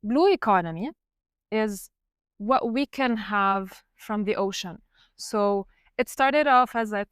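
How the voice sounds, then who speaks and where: A woman in her thirties, a researcher from Lebanon, explaining her career in a measured way on a podcast. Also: on the high side, smooth, dry recording, clean studio sound. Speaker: guest researcher. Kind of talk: podcast interview.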